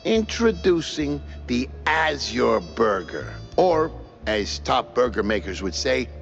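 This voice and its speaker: A man voicing an excitable, boastful cook with grandiose schemes in a cartoon game, theatrical and deliberate. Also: mid-range voice, gravelly, dull audio, soft background music, exaggerated voice. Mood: eager, showy.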